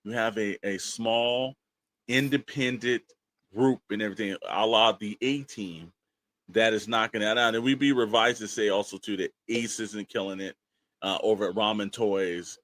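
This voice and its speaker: A man's voice: lowish voice, steady tone, moderate pace, fairly bright tone. An American man co-hosting a podcast in a casual, chatty style, speaking informally and repeating phrases for emphasis. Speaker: podcast co-host.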